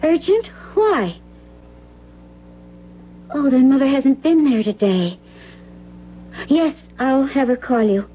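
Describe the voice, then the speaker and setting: A worried, well-mannered daughter in a radio play. Her voice is fairly high. The old recording is dull, with a little noise. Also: animated, takes it slowly, long pauses. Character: anxious, well-mannered grown daughter of a suspect. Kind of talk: old-time radio drama acting.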